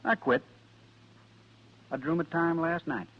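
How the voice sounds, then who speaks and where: An actor playing a proud, stubborn sixteen-year-old cowboy in a radio Western, with terse, folksy frontier talk. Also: male voice, fairly high pitch, a little slow. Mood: determined. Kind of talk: 1950s radio Western acting. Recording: dull vintage radio recording with music.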